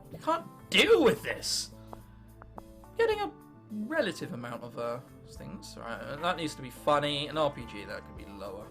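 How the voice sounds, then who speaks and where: Teen english lad thinking out loud over a game, self-mocking n excitable, really animated pitch, music in background. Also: male voice. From England. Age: late teens. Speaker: gaming video host. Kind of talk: gameplay commentary, thinking aloud.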